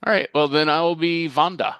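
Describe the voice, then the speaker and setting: A man's voice: a little high, steady tone, fairly quick, fairly bright tone, clean tone, no echo. A man performing at a table read, joking casually with fellow performers.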